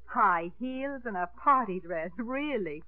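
A woman's voice: a little high, expressive, slow, no echo. An actress playing a refined widowed mother, fluttery, polite and hesitant, in a 1950s radio drama. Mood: airy. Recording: noisy, narrow 1950s radio broadcast.

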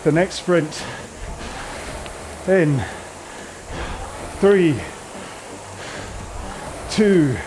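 A man's voice: fairly high pitch, drawn-out words, long pauses, background noise. A man coaching a rowing workout in a casual, rambling, jokey and conversational way. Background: music.

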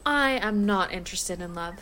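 A woman's voice: mid-range voice, expressive, sharp tone. An actress playing a brash, stubborn, short-tempered female werewolf and former pack alpha in an audio drama, mocking and emphatic. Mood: stubborn, dismissive. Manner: mocking, emphatic, quick to laugh. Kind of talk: audio drama acting, casual banter.

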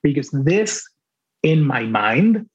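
A man whose first language is Spanish, a translator speaking as a podcast guest in a deliberate, reflective way that often restarts its phrases. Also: fairly high pitch, very animated, a little mellow. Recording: dull lo-fi remote audio.

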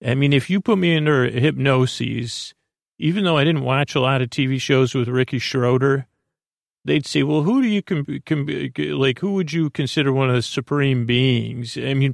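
A man with a creaky voice hosting a sleep podcast, rambling through a meandering, halting monologue in a lulling, goofy manner. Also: medium pitch, no room sound, clean studio sound. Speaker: podcast host.

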